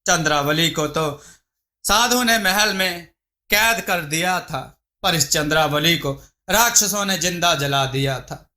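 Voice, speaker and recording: Slow, high-pitched man narrating a story. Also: pushed and bright. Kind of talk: scripted Hindi moral-story narration.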